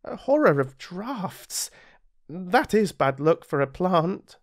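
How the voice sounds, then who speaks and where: Man acting a storybook little prince, young boy, high-pitched, animated, wistful, earnest, British accent. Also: slow, exaggerated voice. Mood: puzzled.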